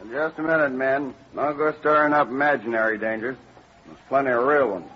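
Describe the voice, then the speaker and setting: An actor playing a steady, authoritative man leading a wagon train, with firm rustic oratory, in a 1940s radio western. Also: medium pitch, fairly quick, lightly acted. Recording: muffled, noisy old radio recording.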